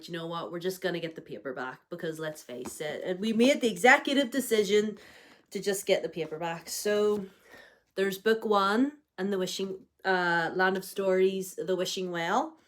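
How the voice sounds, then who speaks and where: Chatty young woman with a cold. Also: mid-range voice. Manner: chatty, lots of ums and likes. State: has a cold, may cough. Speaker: book vlogger. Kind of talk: casual chat.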